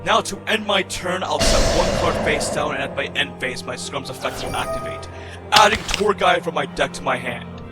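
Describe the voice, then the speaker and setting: A man's voice: a little high, animated, moderate pace, lightly acted. A man playing a scornful villainous duelist in an anime-style card battle roleplay, declaiming dramatically and formally. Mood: commanding, confident. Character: theatrical villainous duelist, scornful rival of a Chosen One. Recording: voice over loud background music.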